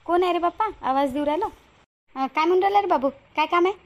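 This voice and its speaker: A woman plays a bossy but cautious village woman from a moral-story cartoon. Her voice is high and she talks briskly. The rural Vidarbha accent is homely and colloquial, full of questions. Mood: wary. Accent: rural Vidarbha Marathi.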